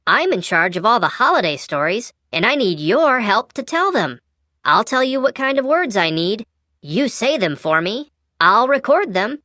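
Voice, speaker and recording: Bubbly, swooping little Christmas elf. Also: female voice, on the high side, pushed and bright, no room sound, studio quality, full character voice. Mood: cheerful explaining. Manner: bubbly, encouraging, sing-song. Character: cheery, eager storytelling Christmas elf. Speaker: talking toy character guiding a word game. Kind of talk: scripted toy voice prompts.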